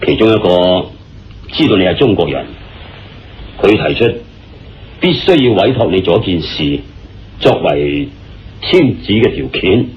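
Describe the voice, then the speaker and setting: Guy playing earnest radio drama confidant, low voice, swings around a lot, hong kong cantonese, muffled w music. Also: sing-song, measured pace, pauses often, a little hiss or hum. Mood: earnest, secretive. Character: male friend confiding state secrets, earnest, deliberate. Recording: dull, muffled radio-style recording. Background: background music.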